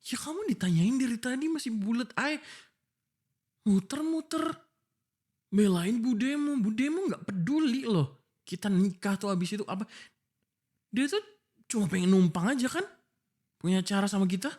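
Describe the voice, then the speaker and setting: An exasperated young fiancé, acted by a man in a boyfriend roleplay audio. He argues with his partner in a pleading, jealous way, firing pointed questions. His pitch jumps and dips dramatically. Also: lively intonation, normal speed, pauses often, darkish tone. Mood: frustrated, accusing. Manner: emotional, argumentative, pleading, full of pointed questions. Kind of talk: boyfriend ASMR roleplay acting.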